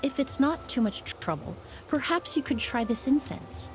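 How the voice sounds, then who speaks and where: A woman's voice: sits high, big pitch swings, moderate pace. A woman playing a refined, courteous young perfumer in an anime game, speaking with formal, composed politeness. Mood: gracious, composed. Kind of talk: anime video game voice acting. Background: background music.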